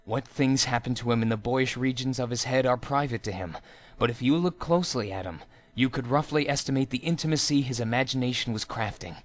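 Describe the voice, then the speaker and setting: A man's voice: mid-range voice, fairly quick. A man narrates a written story in a mid-range voice. His delivery is theatrical and dramatic, like an amateur storyteller performing the text as he reads it aloud.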